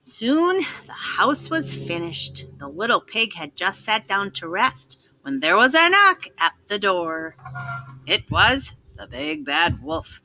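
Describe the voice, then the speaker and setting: Slow, animated woman reading a children's story. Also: mid-range voice, a little hiss or hum, low-quality recording. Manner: dramatic, measured storytelling. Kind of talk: expressive children's read-aloud narration.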